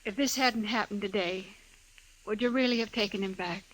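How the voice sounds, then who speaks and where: A woman's voice: medium pitch, moderate pace, fairly bright tone, natural voice, poor audio. A woman playing a frontier widow in a radio western. She has an American accent and a mid-range voice. Her manner is dignified, restrained and direct. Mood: searching, sincere. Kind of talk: old-time radio western acting.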